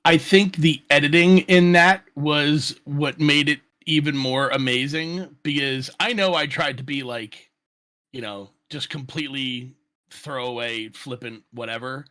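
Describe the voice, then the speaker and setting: Bright, higher-pitched man talking casually. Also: steady tone, measured pace. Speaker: voice actor on an audio drama. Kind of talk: casual discussion.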